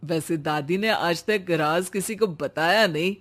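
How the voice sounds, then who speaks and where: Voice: mid-range voice, unhurried, a bit bright, lightly acted. A voice actor playing a lazy, grumpy middle-aged mother-in-law in a cartoon, whiny and snappish. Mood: snide. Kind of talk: cartoon voice acting.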